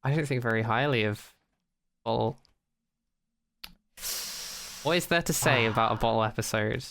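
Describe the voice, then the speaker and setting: A man's voice: medium pitch, normal speed, gravelly, a bit bright, slight background noise. A man co-hosting a podcast in casual unscripted chat, skeptical and opinionated, often repeating and rephrasing as he goes. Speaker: podcast co-host.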